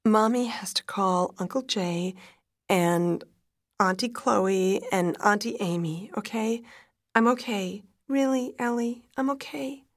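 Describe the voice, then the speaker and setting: A woman's voice: on the low side, takes it slowly, no echo, studio quality. A woman playing a distraught mother and wife, pleading urgently, in dramatic storytelling. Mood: tearful, reassuring. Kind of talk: dramatic audiobook-style storytelling.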